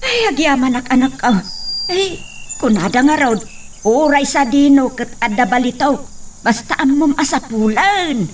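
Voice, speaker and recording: A doting village mother, acted by a middle-aged woman in a homemade drama, fussing and warm-hearted, with a lively, rather high voice that leaps up and down. She sounds a little away from the mic, with faint crickets behind her. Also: measured pace. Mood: fond. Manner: fussing, affectionate, laughs a lot. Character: doting village mother eager to see her son married. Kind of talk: amateur drama acting. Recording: distant mic with faint insect noise.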